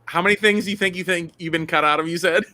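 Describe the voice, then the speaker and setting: A male comedian hosting a casual live-stream chat, animated and laughing often as he tells stories. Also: sits high. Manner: animated, laughs often, quick bursts of storytelling. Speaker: comedian host; father.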